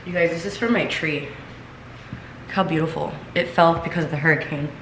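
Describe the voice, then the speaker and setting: A young woman with a low voice chats to her viewers at home, sounding stuffy and congested after being sick. She rambles warmly and loosely, heavy on "like" and "you guys." The room is echoey and there is clear noise in the background. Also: normal speed. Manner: chatty, filler-heavy, talks straight to viewers. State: congested, recovering from illness. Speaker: vlogger. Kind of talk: casual chatty vlog. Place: home kitchen, then seated at home.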